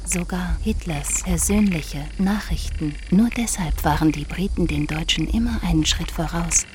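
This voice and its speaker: A woman's voice: fairly low pitch, normal speed, background noise, natural voice. A woman reading scripted documentary narration.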